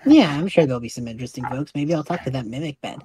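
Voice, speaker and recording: A woman's voice: very deep voice, lively intonation, fast, dark tone, breathy edge, dry recording, clean studio sound, exaggerated voice. A woman voicing an easygoing, unworried young male time traveler in a conversational audio drama. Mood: easygoing, confident. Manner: breezy, reassuring, unworried.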